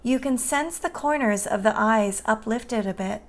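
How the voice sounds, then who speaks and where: A woman guiding a meditation in a soothing, gentle and unhurried manner. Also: fairly high pitch. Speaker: meditation guide. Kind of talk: guided meditation narration.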